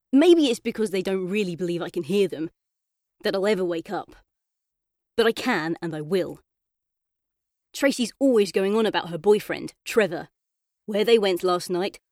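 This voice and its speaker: A woman reading a children's audiobook as a chatty, earnest young boy telling his own story, expressive and colloquial. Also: mid-range voice, no room sound, clean studio sound, close to an everyday voice. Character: boy narrator in a coma, chatty, frustrated, yearning. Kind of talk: first-person children's audiobook narration.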